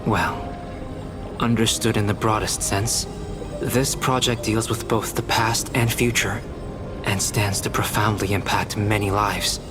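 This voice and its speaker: An actor playing a calm, erudite young alchemist in a video game, speaking in a measured, formal, precise way. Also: male voice, lowish voice, close to an everyday voice. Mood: composed, reflective. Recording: music behind the voice.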